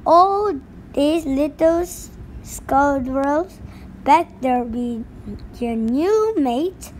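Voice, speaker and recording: A woman's voice: high voice, a little hiss or hum, heavily acted. A woman voicing a pirate captain turned bus driver, boastful but easily scared, in a storybook read-aloud full of hearty pirate slang and growled arrs.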